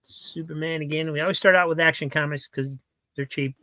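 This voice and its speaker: A man with a high, Muppet-like voice chatting casually, explaining with lots of "um"s. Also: fairly even intonation, fairly quick, no room sound. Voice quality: high, bright voice, told it sounds like a Muppet. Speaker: comic seller and regular on the show. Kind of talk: casual group chat on a livestream.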